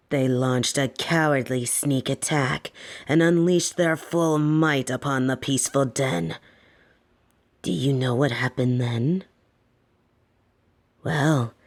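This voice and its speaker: A woman playing a sadistic, softly menacing lamia captor in a fantasy audio roleplay, talking with deliberate, teasing bedtime-story delivery. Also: sits low.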